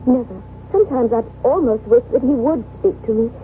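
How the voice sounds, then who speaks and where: Female actor as young French wife, haunted, formal, highish voice, radio drama, narrow tinny sound. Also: brisk, close to an everyday voice. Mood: haunted, insistent. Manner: formal, precise, wistful. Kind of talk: 1970s radio drama acting. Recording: tinny old radio, faint noise.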